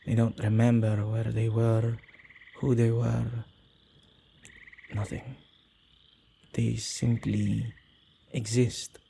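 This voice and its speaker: A man playing a possessive yet soft-hearted male witch in an intimate ASMR roleplay, speaking gently and haltingly in slightly archaic phrasing. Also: monotone, a little hiss or hum. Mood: somber, reluctant. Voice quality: low, husky, creaky voice.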